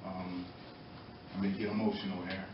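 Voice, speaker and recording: A middle-aged American man giving earnest, halting, impromptu testimony at a public meeting, pausing between short phrases. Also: low voice, flat delivery. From Norwalk, Connecticut, United States. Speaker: former boxer speaking in support of a youth program. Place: indoor meeting room, far from the mic. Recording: echoey, muffled, distant, noisy room recording.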